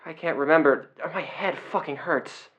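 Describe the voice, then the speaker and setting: A man playing an agitated investigator in an audio drama, speaking in a grave, halting, intense way over a phone. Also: a little high, natural voice. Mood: dazed confusion. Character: agitated male investigator relaying grim secret documents by phone. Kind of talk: audio drama acting, partly reading documents aloud. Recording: dull lo-fi phone call, clear noise.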